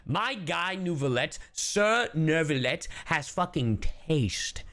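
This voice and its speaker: A man hosts a casual livestream reaction. His pitch moves in lively swings as he jokes with his chat. He swears freely and keeps the commentary loose and irreverent. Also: slow pace. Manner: irreverent, joking, heavy swearing. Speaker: streamer, reaction host.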